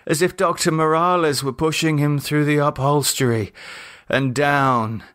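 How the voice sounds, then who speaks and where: A man reading his own horror novel as a scripted audiobook, with dramatic, deliberate storytelling. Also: fairly high pitch, fairly even intonation, fairly bright tone.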